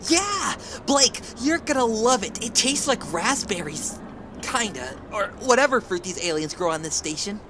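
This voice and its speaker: An actress playing a naive, excitable young boy with an eager, innocent manner in an audio drama. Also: medium pitch, moderate pace, background noise, natural voice. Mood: excited.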